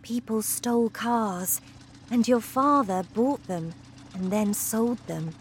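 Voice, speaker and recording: A woman voicing a soft-spoken kind teacher. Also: fairly high pitch, takes it slowly, a little hiss or hum, voice pushed up. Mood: gentle. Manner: soft, gentle, reassuring. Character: kind gentle schoolteacher woman, soft and calm.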